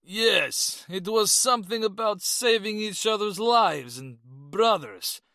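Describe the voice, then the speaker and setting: A drunk, drugged man, confused and trembling, his voice pitched well above the narrator's usual tone, as a male narrator puts him on. The sound is bright and dry, recorded close. He swings between bewilderment and indignation. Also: expressive, slow pace, creaky, no room sound, full character voice. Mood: rambling. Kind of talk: audiobook character voice.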